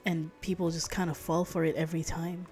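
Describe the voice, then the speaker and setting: Wry, rambling woman streamer, lowish voice. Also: flat delivery, a little fast, over loud music. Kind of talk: casual livestream chat over gameplay. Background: video game dialogue.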